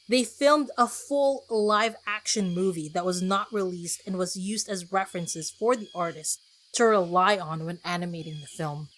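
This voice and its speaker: Woman hosting a solo podcast, expressive delivery. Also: medium pitch. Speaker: podcast host. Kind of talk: solo podcast review, part scripted narration.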